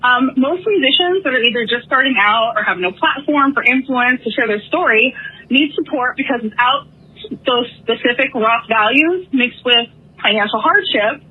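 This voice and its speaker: A woman's voice: fairly high pitch, expressive, moderate pace, husky. A woman leaving an earnest voicemail message, reading from her notes. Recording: tinny phone voicemail.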